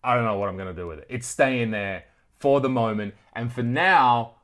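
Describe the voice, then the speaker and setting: An Australian man hosts a casual story-time video. He speaks at a middling pitch in an animated, conversational manner, swearing freely as he goes. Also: moderate pace. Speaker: retro tech video host.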